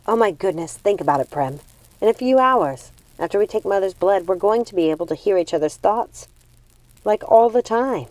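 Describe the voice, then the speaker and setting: A woman's voice: medium pitch, normal speed, no echo, natural voice, poor audio. A woman voicing an eager, bookish young witch in a storybook, with an earnest, questioning manner. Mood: giddy. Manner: excitable, questioning.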